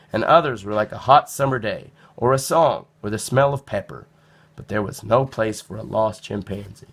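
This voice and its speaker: A man narrating a children's storybook in an expressive read-aloud style. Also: mid-range voice.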